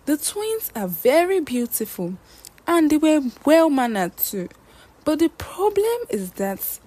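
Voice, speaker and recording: Female narrator for folktales, unhurried delivery with a lively, wide-swinging pitch. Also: low-quality recording. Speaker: storyteller.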